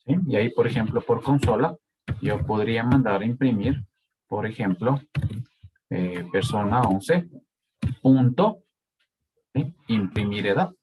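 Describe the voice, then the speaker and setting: Slow-paced Ecuadorian Spanish lecturer. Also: male voice, on the low side, animated, noisy background. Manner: slow, checks in with frequent "¿sí?" and "¿de acuerdo?". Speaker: university lecturer. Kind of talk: live online coding lesson.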